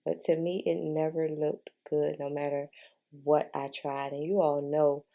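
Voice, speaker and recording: A woman's voice: low voice, flat delivery, a little slow, gravelly, mellow, dull audio. A woman talking in a casual, chatty way as a beauty vlogger giving a makeup tutorial, informal and repeating herself for emphasis.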